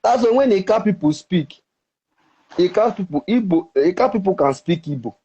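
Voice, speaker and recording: Nigerian man, mellow voice, muffled. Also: sits high, expressive, breathy edge. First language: Ika. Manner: explains at length, halting restarts. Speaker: interviewee. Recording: dull, muffled recording.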